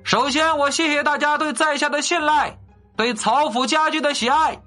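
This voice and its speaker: A man voicing a confident young nobleman in an audiobook, loudly and courteously projecting as if to a crowd. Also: extremely high pitch, fairly even intonation, normal speed, faint music behind, voice pushed up. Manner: loud, projecting, courteous public address.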